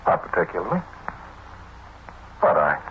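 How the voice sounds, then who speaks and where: A tinny old radio recording with some noise carries a low-voiced man a brave companion trapped in the dark. He speaks in hesitant, reassuring fragments, in the style of 1940s radio horror. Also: huge pitch swings, moderate pace. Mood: uneasy. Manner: hesitant, broken phrases.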